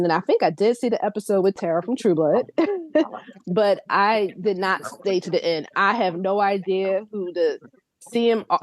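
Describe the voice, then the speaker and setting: A woman from Philadelphia chatting as a guest host on a fan podcast, telling stories in a lively, laughing way. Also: medium pitch, poor audio. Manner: lively, laughing, animated storytelling. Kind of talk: casual podcast chat.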